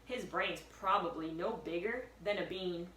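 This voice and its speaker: A woman's voice: fairly low pitch, a little slow, fairly bright tone. Woman voicing a sceptical, studious young boy. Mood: dismissive. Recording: echoey room, distant mic, faint noise.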